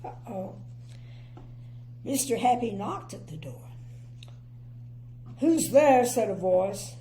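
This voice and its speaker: Mellow, creaky-voiced older woman reading bedtime stories. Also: medium pitch, a little hiss or hum, poor audio. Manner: chatty, gently opinionated commentary. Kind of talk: expressive children's storybook reading with casual asides.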